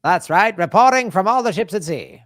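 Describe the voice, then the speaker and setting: A man's voice: sits high, lively intonation, normal speed, a bit bright, no room sound, studio quality, voice pushed up. A man voicing a brash old-time male radio newsman with a clipped, punchy announcer delivery.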